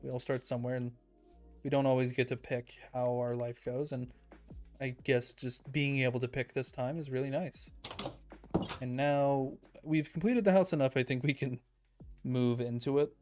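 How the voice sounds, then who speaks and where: Casting: young male streamer, calm and soothing, mid-pitched, soft-spoken, faint music underneath. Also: normal speed. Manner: calm, soft-spoken, self-described monotone. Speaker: Minecraft streamer. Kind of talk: casual livestream chat while building in a game.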